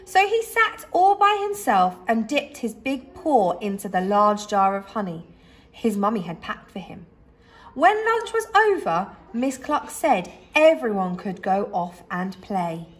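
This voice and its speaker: A woman reading a children's story aloud expressively, like a teacher, with dramatic pauses and emphasis. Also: mid-range voice, sing-song.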